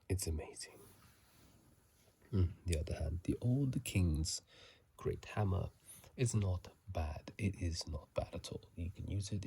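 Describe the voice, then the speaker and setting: A man speaks in a husky, breathy murmur, often slipping into a whisper, close to a phone mic. His voice is very deep and dark, with slow, soothing delivery for ASMR game commentary. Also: dry recording. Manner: soft, soothing, unhurried murmur with repeated phrases. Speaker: host of a gaming ASMR video. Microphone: phone microphone, close up.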